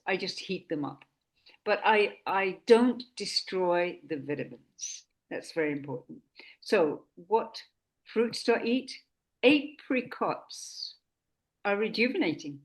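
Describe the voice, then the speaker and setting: Slow, deliberate woman hosting a chat. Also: medium pitch. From Sweden. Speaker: host. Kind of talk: casual talk.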